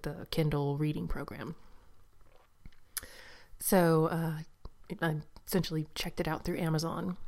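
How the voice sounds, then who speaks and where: A woman chatting casually about books she has read, rambling with fillers and asides, sometimes dropping to a soft whisper. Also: low voice. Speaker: book vlogger. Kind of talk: casual book review chat.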